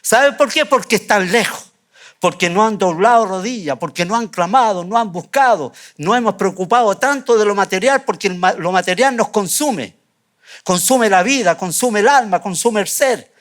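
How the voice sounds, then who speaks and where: A pastor with a high, light voice delivers a fervent sermon in a church, repeating key phrases and pausing for emphasis. He drops softer and lower at times, then builds again. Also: lively intonation. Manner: fervent, repetitive preaching with emphatic pauses. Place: church sanctuary.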